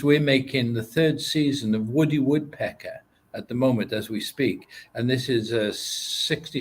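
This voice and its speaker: A man from Wales with a Welsh accent, an animation producer and interview guest who talks hesitantly, with lots of uh and um. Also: medium pitch.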